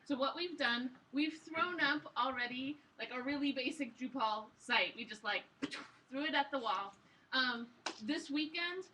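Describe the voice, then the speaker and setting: A woman giving an informal, enthusiastic and colloquial live pitch as a project presenter. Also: bright, forceful tone. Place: live event room with audience. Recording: distant mic, faint background noise.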